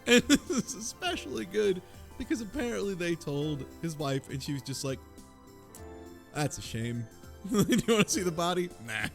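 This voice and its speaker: A man's voice: high-pitched, very animated, normal speed, creaky. Wry man chatting over background music. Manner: wry, incredulous, laughs while talking. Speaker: podcast co-host. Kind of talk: casual joking recap discussion.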